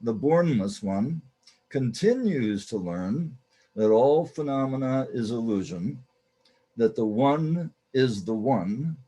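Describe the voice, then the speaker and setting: A man hosting and narrating a radio play introduction in a deliberate, formally theatrical manner. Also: mid-range voice, dark tone. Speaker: host, narrator.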